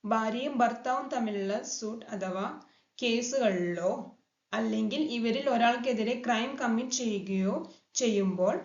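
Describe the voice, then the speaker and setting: A Malayali woman presenting a scripted legal explainer in a formal manner, mixing in English legal terms. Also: on the high side. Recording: dull lo-fi sound, echoey room.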